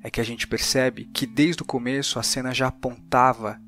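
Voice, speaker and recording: A man's voice: mid-range voice, steady tone, moderate pace, a bit bright, soft background music. Reflective Brazilian podcast man.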